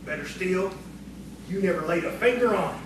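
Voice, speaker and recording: Male actor for folksy country sidekick and schemer, high-pitched, distant and very echoey on a noisy recording. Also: a little fast, natural voice. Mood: conspiring. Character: rustic prankster sidekick, egging on his boss. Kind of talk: audio theatre acting.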